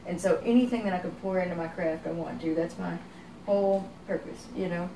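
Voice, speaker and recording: Soft-spoken young Texan woman, roomy distant sound. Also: lowish voice, steady tone, a little fast, gravelly, a little hiss or hum. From Texas. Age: young adult. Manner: reflective, rambling with many "you know"s. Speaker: guest singer-songwriter. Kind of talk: casual interview chat.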